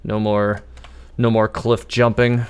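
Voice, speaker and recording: A man gives casual live gameplay commentary in a fairly low voice. He is easygoing and talks through his thoughts as he plays. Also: level tone, slow pace, clear, resonant tone. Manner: easygoing, thinking aloud. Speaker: game streamer.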